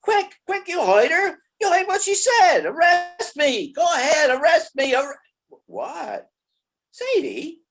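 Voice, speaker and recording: A performer playing a giddy, flustered, bumbling gangster in old-time radio comedy, stammering and excitable. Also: high voice, takes it slowly, exaggerated voice. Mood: flustered, alarmed.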